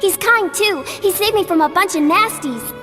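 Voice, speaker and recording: Casting: small fairy companion in a fantasy game, piercingly high, excitable and exclamatory, heard in a reverberant hall. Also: female voice, normal speed, faint music behind, heavily acted. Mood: earnest pleading. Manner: chirpy, exclamatory, punctuates speech with a verbal tic. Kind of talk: video game cutscene acting.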